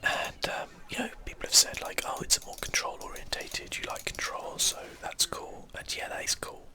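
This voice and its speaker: A gamer whispering casual ASMR commentary while playing, thinking aloud. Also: moderate pace. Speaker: video game streamer.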